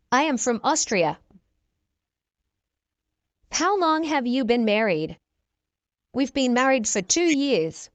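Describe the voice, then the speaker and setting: A woman teaching English, reading short practice dialogues slowly and clearly and repeating each exchange for learners. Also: high-pitched, dry recording, lo-fi sound.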